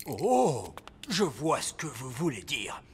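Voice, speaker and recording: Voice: mid-range voice, wildly swooping pitch, slow pace, background noise, heavily acted. An actor playing a fussy, pompous wise old elf in a French cartoon, with an aged, scolding voice. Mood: stuffy, measured.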